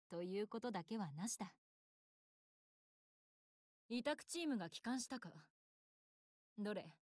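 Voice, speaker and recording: A woman's voice: on the high side, no room sound, studio quality. An actress playing a proud, battle-hungry young warship girl in an anime game, speaking in a blunt, self-assured, tomboyish way. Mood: softer, frank. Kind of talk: Japanese anime game voice lines.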